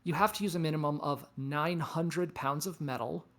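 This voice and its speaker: A performer in a table read playing a weary, knowing space probe, speaking in a measured, deliberate, philosophical way. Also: mid-range voice, fairly even intonation, a bit bright, lightly acted. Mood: matter-of-fact. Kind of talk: table read of science fiction script. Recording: music behind the voice. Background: music.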